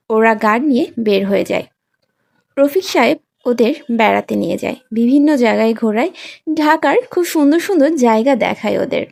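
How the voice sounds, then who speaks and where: A woman's voice: fairly high pitch, creaky, darkish tone. A woman narrating a scripted fan fiction story. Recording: music behind the voice.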